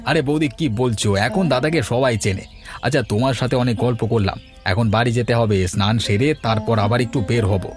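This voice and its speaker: Casting: male actor as easygoing, chatty village man for a cartoon folk tale, middling pitch, music underneath. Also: normal speed, close to an everyday voice. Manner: colloquial, chatty. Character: village men: elder headman, easygoing bachelor neighbour. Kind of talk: Bengali cartoon fairy-tale voice acting.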